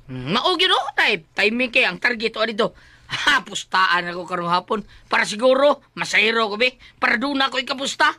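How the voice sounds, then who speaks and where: A woman playing an ageing, rascally, lottery-mad husband in a comic drama skit, excitable and wheedling, often muttering. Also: a little high, moderate pace. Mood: muttering, scheming. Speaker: character in a comedy skit. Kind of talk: exaggerated comic Filipino drama acting.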